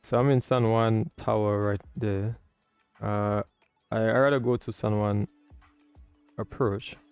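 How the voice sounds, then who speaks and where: A man with a low, very mellow voice hosts a casual tutorial livestream. His tone is smooth and close, with little room sound. The audio is a bit dull, with faint music behind him. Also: steady tone, unhurried, dry recording. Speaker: livestream host learning air traffic control.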